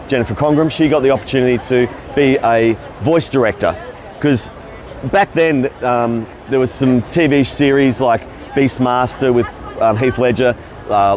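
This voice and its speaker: A middle-aged Australian man, a voice actor telling his career story in a loose, rambling way at a convention. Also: medium pitch. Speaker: voice actor interviewee. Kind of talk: casual interview answers. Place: convention floor.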